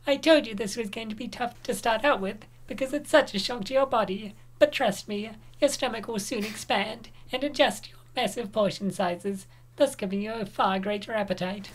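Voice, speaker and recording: A woman voicing a pushy, upbeat young best friend who coaxes and cheers. Her voice is a little higher than average and her delivery is brisk. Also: close to an everyday voice. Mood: coaxing. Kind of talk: comic dub reading.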